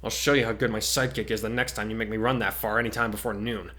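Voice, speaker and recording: A man's voice: on the low side, level tone, fast, a bit bright, natural voice. A laid-back teenage boy at hero school, played by a man reading aloud. He is wry and sarcastic, grumbling his lines like a storybook character. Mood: exasperated. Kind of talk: dramatic reading of fan fiction.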